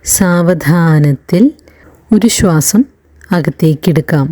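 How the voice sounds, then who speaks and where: A woman guides a relaxation session in a low, very mellow voice. She speaks extremely slowly and soothingly, leaving long pauses between short phrases, as a meditation instructor does. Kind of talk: slow guided relaxation narration.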